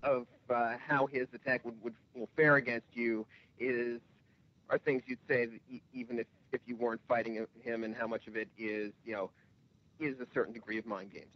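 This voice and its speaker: A man asking questions as a reporter on a press call, halting and stammering over his phrasing. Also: medium pitch, flat delivery, a little hiss or hum. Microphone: telephone. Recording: dry, dull conference call line.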